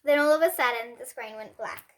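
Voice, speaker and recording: A young girl reading a children's bedtime story aloud in an expressive way. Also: fairly high pitch, brisk. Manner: expressive, with emphatic character dialogue.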